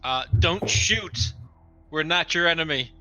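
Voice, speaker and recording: A man's voice: a little high, animated, unhurried, pushed and bright. A man playing a tabletop roleplaying game, casually talking through his character's moves. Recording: noisy mic with background ambience.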